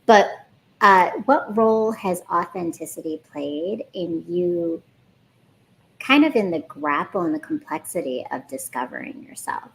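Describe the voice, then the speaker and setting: A woman's voice: on the low side, lively intonation, a little slow, poor audio. A woman hosting an interview, affirming her guest and asking thoughtful follow-up questions. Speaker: podcast host.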